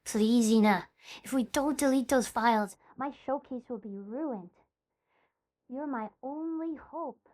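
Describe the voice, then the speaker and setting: Woman playing a high-voiced, insistent young idol schemer. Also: a little slow, darkish tone. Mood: pleading. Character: scheming, defiant young female idol streamer, demanding and pleading. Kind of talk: scripted fan audio drama acting.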